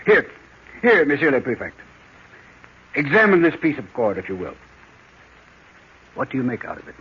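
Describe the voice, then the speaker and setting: Theatrical, smug detective, swooping pitch. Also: male voice, wildly swooping pitch, normal speed, breathy edge, exaggerated voice. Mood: smug, amused. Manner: theatrical, teasing, questioning. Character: brilliant male French detective, smug, playful, patronizing. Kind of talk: old-time radio mystery acting. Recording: dull muffled old radio recording.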